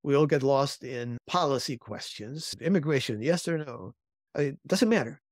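A man with a mid-pitched voice talks as a guest analyst in an interview. He is reflective and opinionated, speaking conversationally. The sound is dry, with very little room on it. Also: animated, moderate pace, dry recording.

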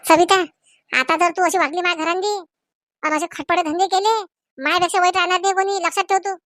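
A woman's voice: very high-pitched, fairly even intonation, quick pace, fairly bright tone, dry recording, clean studio sound. A woman voicing a stern, scolding village matron in a comedy cartoon, with a rural Maharashtrian accent and an emphatic delivery. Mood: stern warning. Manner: emphatic, admonishing. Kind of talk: Marathi comedy cartoon dialogue.

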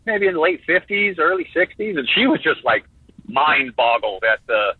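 Fifties guy on a tinny phone call, husky highish voice, bouncy, keeps restarting his sentences. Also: no room sound. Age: 50s. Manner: animated, halting restarts, jokey. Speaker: guest drummer. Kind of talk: casual interview talk.

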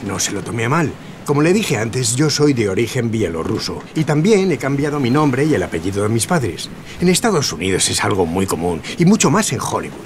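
An actor playing a bossy, patronizing Hollywood studio boss in an audio drama, businesslike and persuasive. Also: male voice, mid-range voice, very animated, fairly quick, a little hiss or hum, natural voice. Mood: dealmaking. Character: blunt, bossy Hollywood studio mogul man. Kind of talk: scripted audio drama acting.

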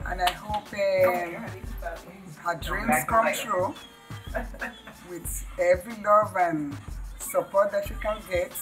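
A woman's voice: mid-range voice, takes it slowly, long pauses, poor audio. A middle-aged woman giving an unscripted, heartfelt message of support to the camera. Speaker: proud mother giving a tribute. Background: music.

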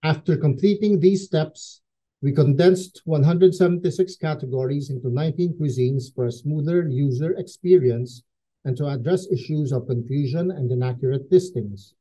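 A man's voice: a little high, moderate pace, warm tone, rough recording. A man reading part of a data science project presentation steadily. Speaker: student presenter.

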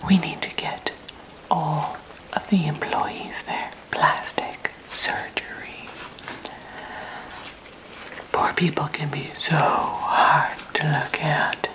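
A woman's voice: low voice, fairly even intonation, slow, pauses often, poor audio. Woman whispering as a smug alien housewife. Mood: sweet whisper. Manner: whispered, sugary, smug small talk. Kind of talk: ASMR roleplay. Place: pink kitchen set, indoors.

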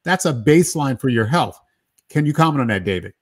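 A middle-aged man moderating a live video-call panel, animated and addressing each panelist by name. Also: medium pitch, a little fast. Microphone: video call webcam with desk microphone.

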